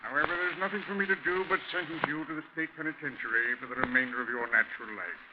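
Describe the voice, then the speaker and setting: A man playing a stern, solemn judge in 1940s radio drama, formal and measured, on an old recording. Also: on the high side, fairly even intonation, dull audio, heavy background noise, natural voice. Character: stern, formal male judge passing sentence.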